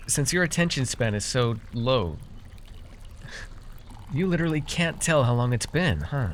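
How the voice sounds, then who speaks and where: A man acting as a proud magical herbalist in a fantasy audio roleplay, with a mid-pitched voice. He speaks directly to one listener, theatrical and easily exasperated, with a sheepish stammer creeping in. Some background noise sits under the voice. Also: close to an everyday voice. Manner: theatrical boasting that slips into sheepish, stammering asides. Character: proud, easily exasperated young magical herbalist who gave away his name.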